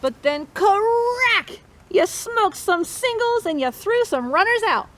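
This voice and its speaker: Woman, high voice, interactive picture-book read-aloud for kids, encouraging; slightly noisy recording. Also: expressive, slow pace, a bit bright. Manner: encouraging, chanting refrains for listeners to repeat. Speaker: storytime host reading a picture book to children.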